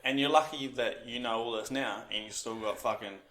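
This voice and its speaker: Blunt, swearing young podcast host. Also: male voice, medium pitch, a little fast, fairly bright tone. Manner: blunt and swearing, calls the guest bro. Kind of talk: casual chat.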